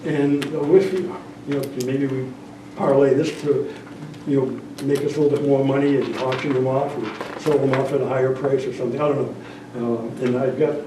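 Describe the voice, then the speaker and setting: A man's voice: mid-range voice, fairly even intonation, moderate pace, hardly any pauses. A man giving a casual, rambling report at a town meeting, trailing off into fillers and jokes. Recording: echoey room, far from the mic, noisy.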